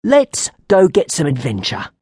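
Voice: mid-range voice, wildly swooping pitch, measured pace, a little mellow, husky, no room sound, voice pushed up, exaggerated voice. A storyteller voicing a blunt, unimpressed young woman with a curt, dry way of speaking.

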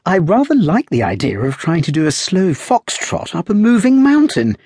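Man reading as a spirited young English lady, playful and high, breathy tone, dry close sound. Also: wildly swooping pitch, normal speed, no room sound, heavily acted. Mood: teasing. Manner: bright, playful, raised pitch. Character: young English woman passenger, lively and teasing.

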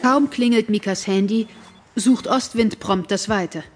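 A woman narrating a radio play in a calm storytelling manner. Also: medium pitch, unhurried, a little hiss or hum.

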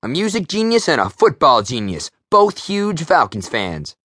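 A man narrating a story, voicing an eager male team photographer who speaks quickly. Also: fairly high pitch, huge pitch swings, a bit bright, no echo, clean studio sound, pitched above the performer's own voice, exaggerated voice.

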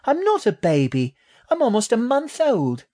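Man putting on a high, feisty little girl rat. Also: huge pitch swings, normal speed, mellow, full character voice. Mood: indignant, sulky. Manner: pert, indignant, questioning. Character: tiny young female baby rat, feisty, proud, inquisitive. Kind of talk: children's audiobook character.

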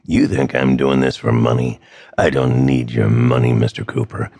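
A man voicing a cold, menacing male villain with a gravelly voice who threatens slowly. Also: extremely low pitch, fairly even intonation, a little mellow, no room sound, clean studio sound, voice pushed down, full character voice.

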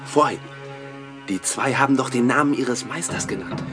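A man's voice: medium pitch, normal speed, close to an everyday voice. A young man playing a bold, cocky ringleader in a horror radio drama, brash and dismissive, urging his companion on. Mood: confident. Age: young adult. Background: prominent music.

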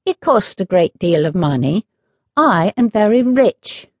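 A woman's voice: medium pitch, sing-song, no echo. Woman voicing a sly, self-satisfied village girl, lilting. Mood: cheerful, proud. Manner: slow, affectionate, pleased delivery. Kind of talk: children's storybook character voice.

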